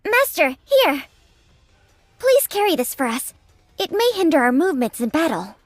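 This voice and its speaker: A woman's voice: high-pitched, big pitch swings, normal speed, no echo, faint music behind. A woman voicing a cheerful, eager young android girl in an anime game, perky, naive and earnest. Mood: polite, upbeat.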